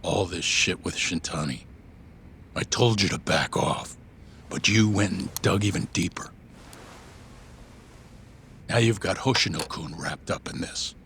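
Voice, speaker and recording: A man's voice: low-pitched, unhurried, pauses often, fairly bright tone, slight background noise. An actor playing a weary older law-office boss in a video game drama, speaking in a stern, blunt, fatherly way. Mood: stern reproach. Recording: background music under the dialogue.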